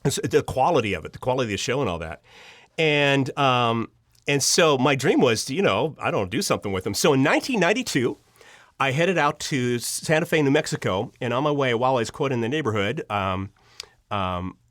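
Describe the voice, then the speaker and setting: A man's voice: medium pitch, lively intonation, brisk, a bit bright, no room sound, clean studio sound. A middle-aged American man telling personal stories conversationally as an interview guest, acting out bits of remembered dialogue. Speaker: podcast guest, audio drama writer. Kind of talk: animated personal storytelling in an interview.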